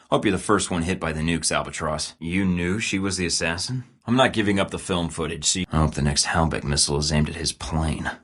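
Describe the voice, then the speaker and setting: A man's voice: deep voice, a bit bright, slightly rough. An actor playing a tough, sarcastic male field agent in a video game, speaking in dry, clipped lines. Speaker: video game protagonist, a spy.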